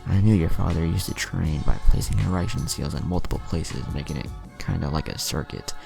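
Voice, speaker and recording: Man playing a very deep, gravelly ninja mentor. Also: brisk, over music. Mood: explaining. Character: older male ninja mentor, roguish, proud, jovial godfather.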